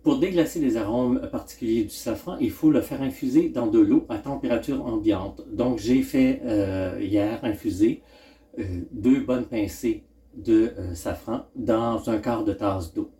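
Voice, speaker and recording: A middle-aged man, hosting a casual cooking demonstration at home and thinking aloud with frequent pauses. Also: lowish voice, dark tone. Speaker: home cooking channel host. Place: home kitchen, indoors.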